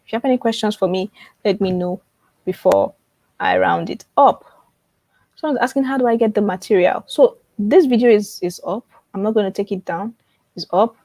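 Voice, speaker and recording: A woman hosts an informal live online class in a medium-pitched voice. She repeats key phrases and checks in with viewers often. The sound is close and dry, with little room echo. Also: creaky, mellow. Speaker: online publishing coach hosting a live webinar. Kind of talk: informal live teaching and promotion, answering viewer questions.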